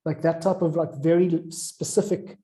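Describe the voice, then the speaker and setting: A man's voice: fairly high pitch, steady tone, moderate pace, dark tone. A man in an informal, discursive chat, explaining his ideas as he goes. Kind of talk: informal chat about craft.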